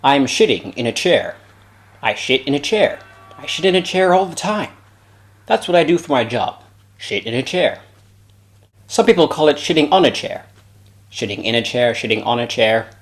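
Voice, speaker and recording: A man's voice: mid-range voice, very animated, moderate pace, pauses often. Man doing a smug, boastful TV writer. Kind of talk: amateur parody impression.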